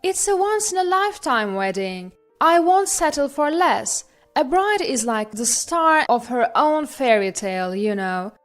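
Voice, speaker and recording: Woman acting a high-voiced, demanding, vain bride. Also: very animated, a little slow, faint music behind. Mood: demanding, entitled. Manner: insistent, self-centred. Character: demanding, vain bride in her late thirties.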